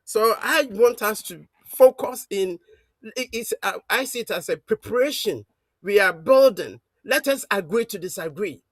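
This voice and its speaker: A high-pitched man speaks on a call-in show in a halting, sermon-like way, stopping often to search for words. His voice is dry and close. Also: expressive, no room sound. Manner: halting, with many fillers and restarts. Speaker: caller. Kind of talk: preaching-style call-in remarks.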